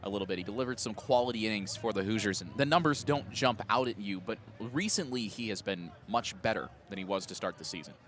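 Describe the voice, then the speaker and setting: A man's voice: on the low side, fast talker, few pauses, fairly bright tone. A man giving color commentary and analysis during a live baseball broadcast at the ballpark. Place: outdoors at a baseball stadium.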